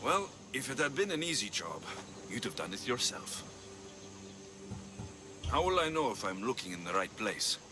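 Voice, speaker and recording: A man's voice: mid-range voice, big pitch swings, normal speed, long pauses, noisy background, close to an everyday voice. A man playing a wry, hard-bargaining mercenary in a video game, with a Greek accent and a dry, sardonic manner. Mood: curious, wry. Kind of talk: video game cutscene acting.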